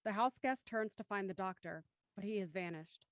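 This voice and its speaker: A woman narrates an audio thriller with a mid-range voice. Her scripted delivery is measured and descriptive, recorded close and dry. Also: medium pitch, no room sound. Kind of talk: scripted audio drama narration, reading stage directions.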